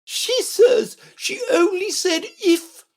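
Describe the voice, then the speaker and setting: A woman voicing a sharp, impatient, bossy queen in a children's storybook, speaking emphatically. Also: high voice, very animated, slow pace, voice pushed up, full character voice. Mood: shuddering disdain. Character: Red Queen, sharp bossy imperious scolding royal chess piece.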